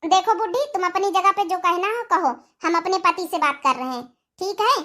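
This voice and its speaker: A woman's voice: extremely high pitch, fairly quick, pushed and bright. A woman voicing a haughty young teacher wife in a comic cartoon, curt and dismissive, with an Awadhi accent. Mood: cold contempt. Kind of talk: comic village cartoon dialogue.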